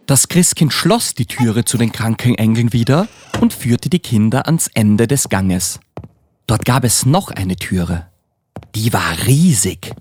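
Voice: mid-range voice, animated, a little slow, darkish tone, slight background noise. A narrator reading scripted storybook narration for a Christmas radio play.

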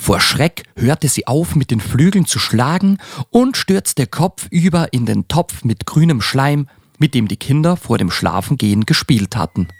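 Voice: medium pitch, animated, measured pace, no room sound. A young school-age child narrating a children's Christmas radio play in a scripted storybook style. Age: child, about ten.

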